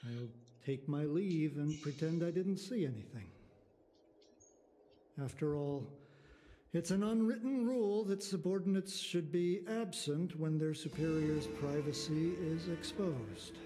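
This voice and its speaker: A man voicing a discreet, long-lived officer in a video game, speaking in a measured, formal and solemn way. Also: on the high side, dark tone, soft background music, close to an everyday voice. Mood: quietly conspiratorial.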